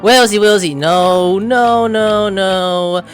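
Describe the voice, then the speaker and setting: A man's voice: sits high, steady tone, slow. A man giving gameplay commentary, reacting as he plays and reading text aloud. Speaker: let's play streamer. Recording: game music behind the voice.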